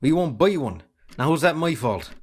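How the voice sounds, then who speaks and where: A man's voice: on the high side, sing-song, normal speed, darkish tone. A man playing a put-upon young farmer's son in an amateur drama, defensive and grumbling, with an Irish accent. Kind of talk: amateur stage drama acting.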